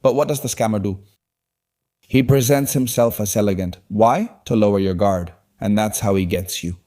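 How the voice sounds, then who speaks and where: A man's voice: lowish voice, moderate pace, dark tone. A man hosting a podcast interview, making his points in long, opinionated runs. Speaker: podcast host. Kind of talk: conversational interview.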